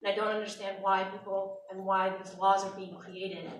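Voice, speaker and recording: A woman's voice: mid-range voice, little pitch movement, normal speed, slight background noise. A woman from South Africa, a native Afrikaans speaker, earnestly asking a question at a town hall. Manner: earnest, puzzled, pleading. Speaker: audience member asking a question. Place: large hall with audience. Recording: echoey hall from far off.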